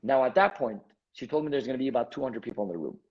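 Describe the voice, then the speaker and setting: A husky-voiced man from Montreal, raised speaking Armenian at home, recounts his path as a guest on a video call. He tells it in an animated way and acts out the conversations he quotes, and his voice comes through dull and lo-fi. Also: medium pitch, racing. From Montreal, Canada. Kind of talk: storytelling interview.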